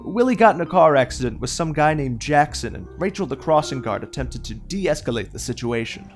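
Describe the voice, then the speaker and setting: Man as a higher-pitched narrator over music. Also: big pitch swings, brisk. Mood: matter-of-fact. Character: self-aware comedic male narrator of detective story. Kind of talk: scripted narration in audio drama.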